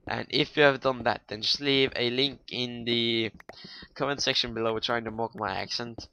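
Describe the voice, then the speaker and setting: A man's voice: mid-range voice, steady tone, normal speed, bright, forceful tone, clear, resonant tone, poor audio. A young man with a non-native English accent, rambling and swearing casually as he comments on his own gameplay. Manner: rambling, swearing, joking to viewers. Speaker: gaming YouTuber.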